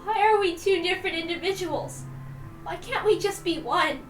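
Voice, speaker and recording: A desperate, pleading teenage girl, crying out in a piercing high voice. It is a dramatic visual novel performance, echoey and with music behind. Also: normal speed. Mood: tearful longing. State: crying, wailing. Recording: roomy echo, distant mic, music behind.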